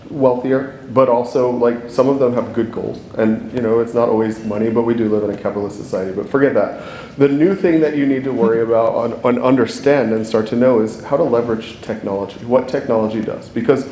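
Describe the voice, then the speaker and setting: A man on a conference panel, explaining his point in a steady, orderly way. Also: medium pitch, a little fast, a little hiss or hum. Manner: steady, explanatory, wraps up neatly. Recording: echoey conference room.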